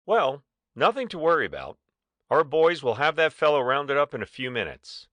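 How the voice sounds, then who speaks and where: TV show director played by a man, breezy false cheer, very animated pitch, bright tone, dry sound, dramatized story. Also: normal speed, no room sound. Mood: breezy reassurance.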